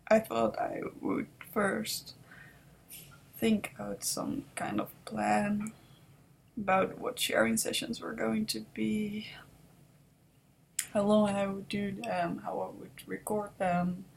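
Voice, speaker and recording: A woman in her twenties with a Dutch accent, talking softly and hesitantly in an unscripted confessional vlog recorded on a webcam. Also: medium pitch, level tone, slow, creaky, a little hiss or hum, rough recording. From Netherlands. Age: 20s. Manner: soft-spoken, hesitant, self-doubting. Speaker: vlogger.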